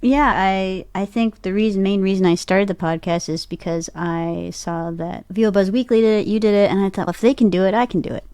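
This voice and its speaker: A woman's voice: mid-range voice, steady tone, fairly quick, few pauses, dark tone, smooth, no room sound. A woman speaking casually as a guest in an interview about her own work. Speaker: podcast guest, voice actor and podcaster.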